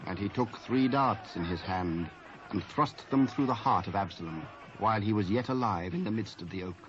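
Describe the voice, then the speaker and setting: A British man narrating scripture in a measured, scripted style with archaic English. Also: fairly low pitch, music in the background. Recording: muffled cassette transfer with faint noise.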